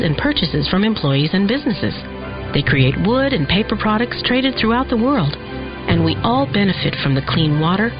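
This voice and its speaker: A woman reading scripted narration for a public-interest radio advertisement. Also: medium pitch. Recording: dull lo-fi radio air-check. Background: music bed.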